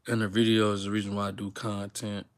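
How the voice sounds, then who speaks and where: A man's voice: fairly low pitch, quick pace. A young man from Texas, talking unscripted to his viewers in a rambling, slang-filled way with lots of fillers. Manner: rambling, relaxed slang-filled talk with many fillers and restarts. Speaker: YouTuber. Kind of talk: unscripted casual talk to viewers, live stream.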